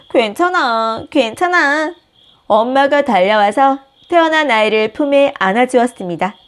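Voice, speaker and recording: A woman host reading a picture book aloud with gentle, expressive storytelling. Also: on the high side. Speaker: storybook reader, channel host.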